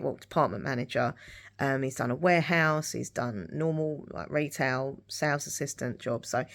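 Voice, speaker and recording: A middle-aged woman chatting casually in a rambling vlog style, her voice husky and dry-throated from hay fever. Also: a bass voice. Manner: rambling, repeats herself. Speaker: lifestyle vlogger. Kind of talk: casual rambling chat to camera.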